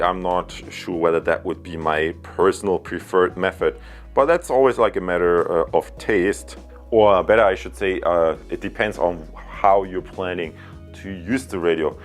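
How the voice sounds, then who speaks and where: A man's voice: low voice, steady tone, normal speed, soft background music. A man giving a casual tech product review, choosing his words carefully and a little hesitantly.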